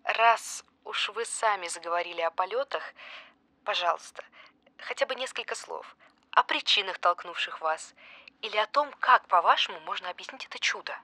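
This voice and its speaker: A woman's voice: medium pitch, animated, normal speed, sharp tone, dry recording. An actress playing a pushy, desperate female journalist in an audio drama, politely insistent in her questioning. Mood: pressing. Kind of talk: naturalistic audio drama acting.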